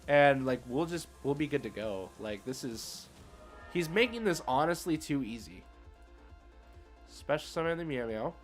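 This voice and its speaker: A man's voice: medium pitch, sing-song, normal speed, faint music behind. A man hosting a livestream and giving casual, slangy commentary on a card game as he thinks aloud.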